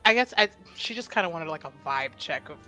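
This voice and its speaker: A woman's voice: low-pitched, animated, fairly quick, pushed and bright, soft background music. A woman chatting casually and informally as a player in a tabletop roleplaying game, asking questions in loose, slangy phrasing.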